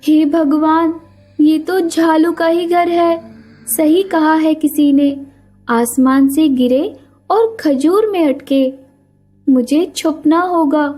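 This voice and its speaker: A woman voices a little female bird in an animated fable. She sounds high and anxious, earnestly pleading at a measured pace. Music runs underneath. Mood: frightened. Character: frightened young female little songbird, earnest and quick-witted. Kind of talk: animated children's story character voice. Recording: music behind the voice.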